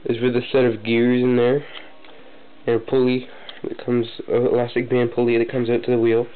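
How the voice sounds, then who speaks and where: A man's voice: monotone, normal speed, clean tone. A young man with a cold, sniffling, casually and hesitantly showing off a model he built. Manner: casual, hesitant, many fillers and restarts. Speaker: hobby builder showing a model. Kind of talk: casual show-and-tell vlog. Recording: tinny phone-like low-fi audio, faint noise.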